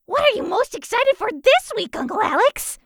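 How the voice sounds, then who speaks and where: A woman's voice: extremely high pitch, sing-song, moderate pace, creaky, dry recording, studio quality, voice pushed up, heavily acted. A woman voicing a cheeky young girl kitten in a children's audiobook. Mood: eager.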